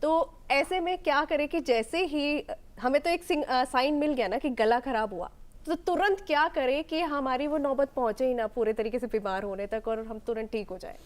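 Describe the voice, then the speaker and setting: A woman with a fairly high voice co-hosts a health discussion. She frames questions for the experts in a conversational interview style. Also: moderate pace. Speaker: co-host, interviewer.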